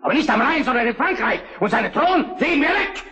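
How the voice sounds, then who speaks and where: An actor playing a proud, forceful Prussian count and statesman in a historical German radio drama, speaking formally and declaiming. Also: very high-pitched, very animated, fast talker, dull audio, exaggerated voice. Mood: defiant. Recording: roomy, echoing drama recording.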